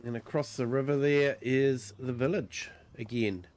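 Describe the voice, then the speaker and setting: A man with a New Zealand accent and a croaky voice from a cold, giving easygoing livestream commentary on a farming game, full of ums and uhs. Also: mid-range voice, steady tone, measured pace, a little mellow. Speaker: streamer. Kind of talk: relaxed livestream gameplay commentary.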